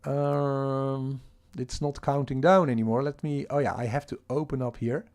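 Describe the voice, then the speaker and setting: A man's voice: medium pitch, little pitch movement, normal speed, darkish tone. A man with a Dutch accent hosting a casual livestream, rambling with frequent restarts and questions to the chat. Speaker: livestream host.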